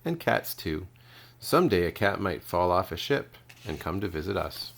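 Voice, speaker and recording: A man voicing an excitable young male polar bear cub in a storybook. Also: deep voice, moderate pace, vocal fry, slight background noise, heavily acted. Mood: wistful.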